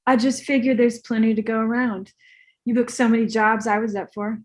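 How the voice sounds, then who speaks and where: A woman's voice: on the high side, little pitch movement, fairly quick, lightly acted. A woman reading a bratty, clueless young male movie star in a comedy script, with a casual, naive delivery. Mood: earnest. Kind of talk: comedy script table read.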